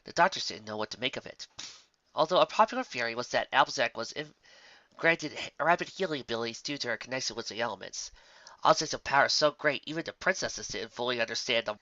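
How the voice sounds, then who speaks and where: Male narrator, bright forceful voice, expressive amateur story reading, occasional stumbles, dry and slightly dull recording. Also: medium pitch, fast talker, dry recording.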